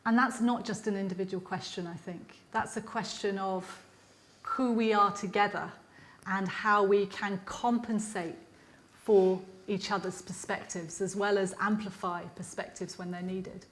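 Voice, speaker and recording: A woman lecturer answering audience questions at a public lecture in a measured, reflective way. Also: medium pitch, steady tone, a little hiss or hum.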